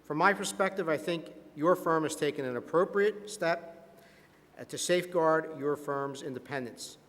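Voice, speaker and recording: A man playing a polite, slightly halting state board official in a scripted stage dramatization. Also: a little high, a bit bright, natural voice. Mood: polite, procedural. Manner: polite, stumbling over his words. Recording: echoey conference hall sound system.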